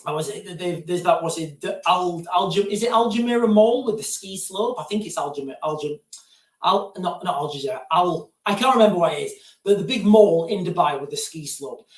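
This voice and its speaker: Male streamer, high voice, loose digressive chat, set back from the mic in a roomy space. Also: animated, a little fast. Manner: digressive, keeps addressing viewers as guys. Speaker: live-stream host answering viewer questions. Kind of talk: casual rambling live-stream chat. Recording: roomy sound, distant from mic.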